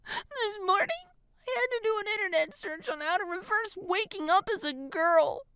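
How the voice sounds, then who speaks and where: A woman acts out a panicked teenage boy turned girl in an audio story. The voice is high, whiny and breathy, tearful and pleading, with dramatic, petulant swings. It sounds close and dry. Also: animated, moderate pace, fairly bright tone, dry recording, heavily acted. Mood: tearful, exasperated.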